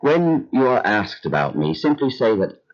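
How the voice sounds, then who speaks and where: A man playing an earnest, devout biblical patriarch and husband in a radio drama, speaking solemnly and deliberately. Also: on the low side, big pitch swings. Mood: anxious. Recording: muffled retro audio drama sound.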